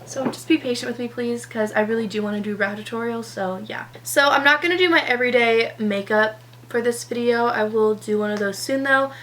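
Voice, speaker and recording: A seventeen-year-old girl chatting casually through a makeup routine, slightly hoarse from a cold, rambling with lots of "um" and "like". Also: a little high, fairly quick, hardly any pauses, a bit bright, a little hiss or hum. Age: teenager, 17. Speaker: beauty vlogger. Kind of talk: chatty get-ready-with-me vlog.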